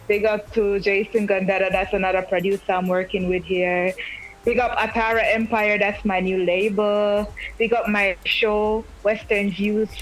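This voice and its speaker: A woman with a mid-range voice, talking as a guest artist on a radio show. She chats casually, stumbling over restarts as she lists shout-outs. Faint music plays in the background. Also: medium pitch, rough recording. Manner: chatty, hesitant restarts, giving shout-outs. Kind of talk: casual interview talk. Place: remote line from home, Austria.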